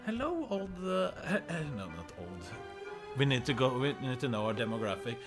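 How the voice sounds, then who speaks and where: A man's voice: mid-range voice, very animated, brisk, faint music behind. A man in his thirties with a Norwegian accent, chatting playfully and laughing often. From Norway. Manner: playful, laughing often, jokey salesman bits. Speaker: streamer. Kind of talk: casual chat. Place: indoor room and car.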